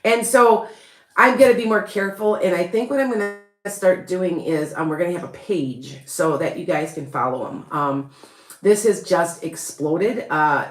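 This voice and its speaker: Woman, Chicago accent, lowish voice, rambling chatty live-stream host, roomy echo. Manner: rambling and chatty, with frequent laughs and restarts. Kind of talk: casual live-stream chat.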